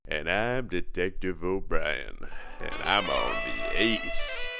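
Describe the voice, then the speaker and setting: A man's voice: deep voice, lively intonation, slow pace, vocal fry, exaggerated voice. A man acting as a portentous detective in a hammy comedy parody. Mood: smug. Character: gravelly mock-serious detective in a sultry parody. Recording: music under the voice.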